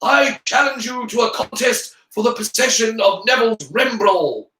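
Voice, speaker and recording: Man as a clever schoolboy, raised pitch. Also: steady tone, a little fast. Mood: bold challenge. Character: clever, controlled, scheming young schoolboy hero. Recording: roomy, echoing room sound.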